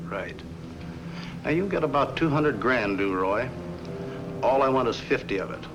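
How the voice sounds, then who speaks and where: An actor playing a scheming, embittered circus owner in a 1950s film noir, with a cool, cocky, insistent manner. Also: male voice, mid-range voice, lively intonation, moderate pace, natural voice. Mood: cool, threatening. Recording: noisy old film soundtrack.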